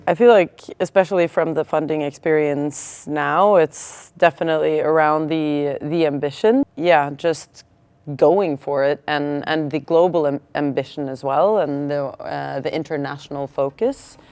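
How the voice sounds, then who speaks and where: A woman's voice: low voice, steady tone, measured pace, a little mellow, clean tone, no room sound. A woman, a founder speaking thoughtfully in English on a panel and often saying "kind of". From Norway. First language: Norwegian. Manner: thoughtful, with many "kind of" fillers. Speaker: startup founder. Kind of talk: panel discussion.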